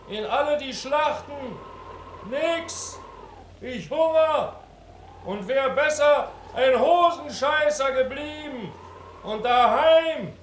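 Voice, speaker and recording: Very high-pitched hungry, bitter soldier. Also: male voice. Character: hungry, disillusioned soldier begging for soup. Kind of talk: theatrical radio play declamation. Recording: echoey, faintly noisy radio play.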